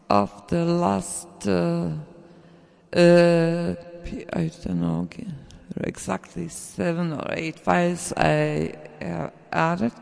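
Man, non-native English speaker, high gravelly voice, halting sample-sharing chat. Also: darkish tone, big-room sound. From Germany. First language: not English. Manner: halting, searching for words, slow with many fillers. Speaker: sound producer sharing samples. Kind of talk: casual video message.

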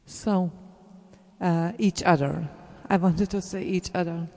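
A speaker with a German accent, a native German speaker, giving an earnest, encouraging message to fellow artists, halting and searching for words with many fillers, and trailing into soft whispers. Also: mid-range voice, steady tone. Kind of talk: casual spoken message to listeners. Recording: roomy with long reverb.

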